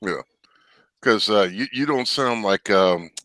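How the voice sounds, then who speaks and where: Blunt, forceful low-voiced man. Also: animated, no room sound. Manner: blunt, halting with repeated words. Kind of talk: casual livestream chat.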